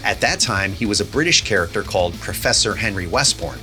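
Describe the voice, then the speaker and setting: A man's voice: on the low side, animated, a little fast, music in the background. A man hosting a behind-the-scenes series, explaining his production work in a casual, conversational way. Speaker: audio drama director and series host.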